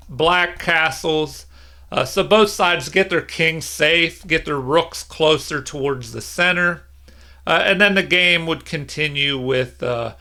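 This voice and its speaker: A middle-aged man giving an unscripted tutorial as an instructor, explaining things in a measured way. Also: fairly high pitch, pushed and bright. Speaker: chess instructor. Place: small room indoors at home.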